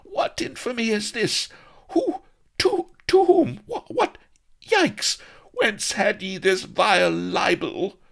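A man's voice: on the low side, sing-song, slow pace, a bit bright, voice pushed up, heavily acted. A man voicing a haughty, melodramatic young noblewoman who scolds indignantly in mock-archaic, theatrical speech for a comic storybook. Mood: shrieking rage.

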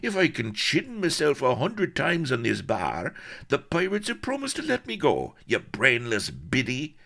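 A man's voice: fairly low pitch, very animated, a little slow, gravelly, pushed and bright, voice pushed up, heavily acted. A man voicing a sarcastic, anguished rogue of a colonel held captive, groaning out archaic oaths and insults in an audiobook story. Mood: sarcastic, furious. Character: hanging roguish Colonel, sarcastic, anguished, bombastic pirate captive.

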